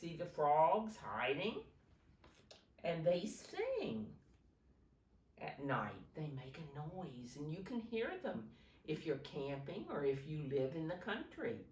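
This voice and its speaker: A woman with a low voice reads and explains to small children like a preschool teacher. Her pitch moves expressively as she takes her time and asks simple questions. The sound is roomy and a little distant from the mic. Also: big pitch swings, takes it slowly, a little mellow. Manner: simple, instructive, often questioning the listeners. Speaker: preschool teacher reading aloud.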